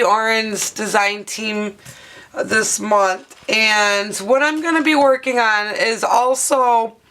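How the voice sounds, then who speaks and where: A hoarse woman in her thirties talks through a craft project at her studio desk in a casual, rambling way, full of "uh"s. Her voice sits a little high and sounds bright despite the strain, and she speaks slowly. A camera mic above the desk picks her up with a faint hiss behind her. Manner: chatty, rambling with fillers. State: hoarse, partly lost voice. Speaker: craft video host. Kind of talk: casual craft tutorial narration. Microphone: overhead camera mic, speaker off-frame.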